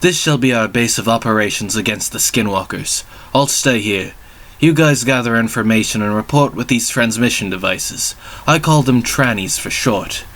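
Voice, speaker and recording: A composed storybook professor, read by a man who takes charge. The voice is mid-pitched and bright, with firm, declarative delivery. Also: normal speed, close to an everyday voice. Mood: commanding. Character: composed male professor leading a mission, briskly commanding.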